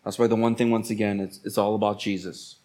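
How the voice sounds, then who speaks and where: A man's voice: fairly low pitch, flat delivery, fast, a little mellow. A man preaching as a pastor in colloquial, exhorting language, repeating phrases for emphasis. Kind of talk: sermon.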